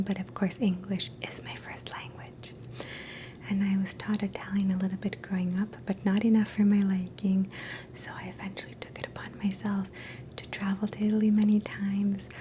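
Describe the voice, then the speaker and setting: Softly whispering woman. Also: mid-range voice, little pitch movement, slight background noise. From Canada. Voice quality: soft whisper, mostly unvoiced. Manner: slow, gentle, repeats each phrase. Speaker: ASMR host teaching phrases. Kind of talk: whispered ASMR language lesson.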